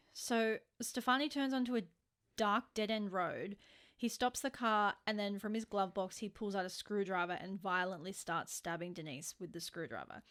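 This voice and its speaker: Woman, podcast co-host, true-crime storytelling, informal, emphatic phrasing, mid-pitched voice. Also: fairly bright tone.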